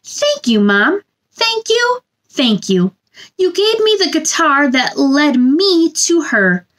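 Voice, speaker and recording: A woman storytime host with a high voice that swoops up and down. She speaks in a gentle sing-song way, as if to young children, moving between picture-book reading and chatty asides. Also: sing-song, takes it slowly. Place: small indoor room.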